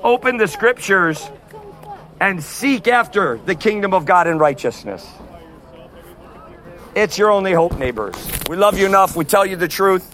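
A man preaching loudly on the street outside a concert, without an amplifier, calling out to passers-by as neighbors. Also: high voice, lively intonation, normal speed, long pauses, slight background noise. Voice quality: loud voice. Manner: forceful, repeatedly addressing "neighbors". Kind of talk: loud outdoor street preaching.

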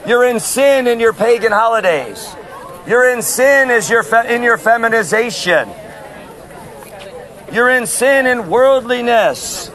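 A man who is a street preacher, talking loudly and insistently outdoors on a city street, recorded on a handheld phone. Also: very high-pitched. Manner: loud, forceful, insistent. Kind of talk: open-air preaching and street argument.

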